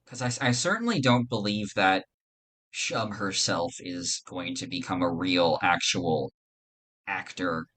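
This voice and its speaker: Bright-voiced, lower-pitched man. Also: measured pace, slight background noise. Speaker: podcast guest, lore expert. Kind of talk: casual discussion.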